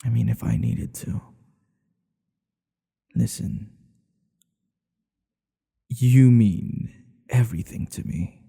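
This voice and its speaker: A man playing a tender, devoted king in an intimate ASMR roleplay, speaking in an earnest, hushed, halting way. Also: mid-range voice, gravelly, husky, big-room sound, exaggerated voice. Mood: reassuring, devoted. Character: tender, devoted male monarch hiding a secret lover.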